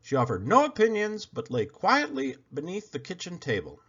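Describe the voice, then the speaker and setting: A man narrating a children's storybook aloud in an expressive read-aloud style. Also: fairly high pitch, moderate pace, slightly rough, highs cut off. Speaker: storybook reader.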